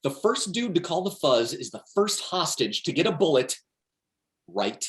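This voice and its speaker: An actor playing a brash, excitable, scheming slacker man in a foul-mouthed, cajoling comedic table read over a video call. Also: medium pitch, normal speed, natural voice. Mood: conspiratorial. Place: remote video call reading.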